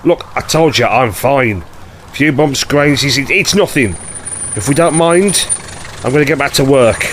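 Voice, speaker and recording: Man playing a blunt, animated police inspector. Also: mid-range voice, measured pace, slight background noise. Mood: exasperated. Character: gruff, blunt male police inspector, easily flustered. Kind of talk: audio drama acting.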